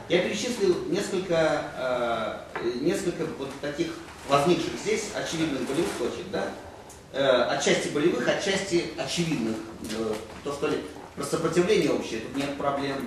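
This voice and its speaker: A middle-aged man at a roundtable in a conference room, rambling and checking agreement with tag questions. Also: mid-range voice. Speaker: roundtable participant. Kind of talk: panel discussion. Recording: echoey room from far off, noisy.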